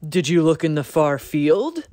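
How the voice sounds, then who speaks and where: A woman voicing a gentle, thoughtful deer who asks questions in a storybook. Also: sits low, big pitch swings, a little slow, dry recording, studio quality. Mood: questioning.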